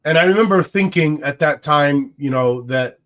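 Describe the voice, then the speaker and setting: A man's voice: fairly high pitch, moderate pace, a bit bright. A man in his forties whose first language is Arabic, musing aloud in a casual podcast conversation with frequent restarts. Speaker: co-host. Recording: dull lo-fi sound.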